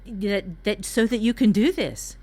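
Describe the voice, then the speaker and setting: A woman's voice: mid-range voice, expressive, measured pace, darkish tone. A woman co-hosting a writing workshop in casual brainstorming chat, teasing and prompting with questions. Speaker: workshop co-host and writer.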